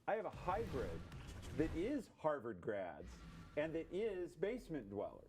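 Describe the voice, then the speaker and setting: A middle-aged man in a casual discussion on a stream, explaining things in a measured way. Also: on the high side, huge pitch swings, over music. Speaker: livestreamer with a career advice show. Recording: loud gunfire and game noise. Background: video game gunfire and artillery.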